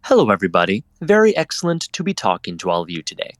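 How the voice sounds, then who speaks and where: A man's voice: fairly low pitch, wildly swooping pitch, racing, no echo, clean studio sound. A man speaking as a guest on a casual panel, in a wordy, effusive way with florid phrasing. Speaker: panelist, fan audio drama contributor.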